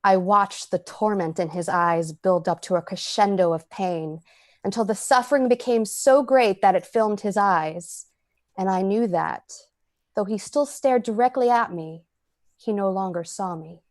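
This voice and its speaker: A woman acts out a cold-blooded, calculating predator telling a radio tale. The delivery is measured and dramatic, in a medium-pitched voice. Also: a little mellow, natural voice. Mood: intent, detached. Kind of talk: old-time radio drama narration.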